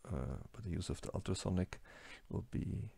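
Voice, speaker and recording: Low gravelly man lecturing over slides. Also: expressive, dark tone, dry recording. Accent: German-speaking. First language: German. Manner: halting, many fillers and restarts. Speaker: lecturer on plastics engineering.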